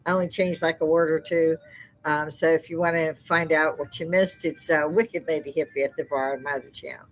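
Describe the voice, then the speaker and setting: Loud, laughing woman host. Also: sits low, steady tone, fairly quick. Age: older. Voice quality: loud speaking voice. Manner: loose, laughing, chatty. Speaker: live stream host. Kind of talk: casual live-stream chat. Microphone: desk microphone.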